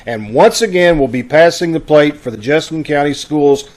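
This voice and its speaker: Male host, slightly high voice, folksy and informal, community announcements over video call. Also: animated, moderate pace, darkish tone. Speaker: host of an amateur radio theater troupe. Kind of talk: informal announcements to an online audience.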